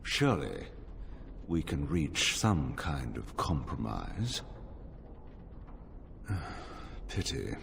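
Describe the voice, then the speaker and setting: An actor playing a suave, mock-polite slaver mage in a video game, with a theatrical delivery. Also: male voice, rumbling low, wildly swooping pitch, extremely slow, gravelly, long pauses, a bit bright, a little hiss or hum, exaggerated voice. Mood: smug, menacing. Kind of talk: video game cutscene acting. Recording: long hall reverb, faint music.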